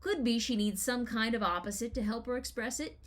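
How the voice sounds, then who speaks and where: Higher-voiced American woman narrating a personal essay. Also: brisk. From Los Angeles, United States. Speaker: writer reading their own personal essay. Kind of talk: scripted first-person narration.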